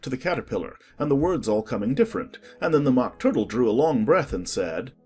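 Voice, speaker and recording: A man narrating a dramatized storybook with measured, expressive delivery. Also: medium pitch, soft background music.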